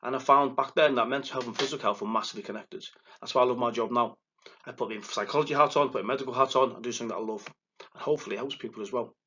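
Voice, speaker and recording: Fast-talking Liverpool man, husky voice. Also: mid-range voice, lo-fi sound. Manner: fast, excitable delivery with verbal stumbles and restarts. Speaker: psychotherapist giving a recorded lecture. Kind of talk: recorded lecture to counselling students. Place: presenting alone without a live audience.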